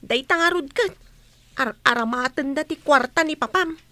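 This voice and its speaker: A woman's voice: high-pitched, moderate pace, bright, forceful tone, no room sound. A woman acting a loyal, protective household nanny in an Ilocano radio drama. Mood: concerned indignation.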